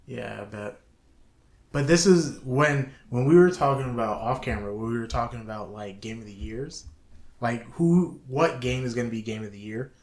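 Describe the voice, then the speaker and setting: A man's voice: mid-range voice, normal speed. A man co-hosting a casual video game chat, talking like an enthusiastic gamer. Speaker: co-host of a video game talk show. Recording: roomy echo with background hiss.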